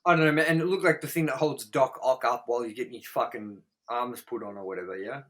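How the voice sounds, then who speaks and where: A man's voice: mid-range voice, fast talker. A man hosting a casual film review podcast, talking in a blunt, rambling, sweary way. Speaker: podcast host reviewing films.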